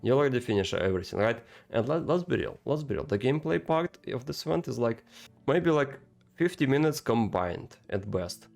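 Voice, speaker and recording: A man giving casual, rambling game commentary, halting and repeating himself, with emphatic rhetorical questions. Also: medium pitch, big pitch swings, creaky, darkish tone, soft background music. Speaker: gaming content creator reacting to game cutscenes.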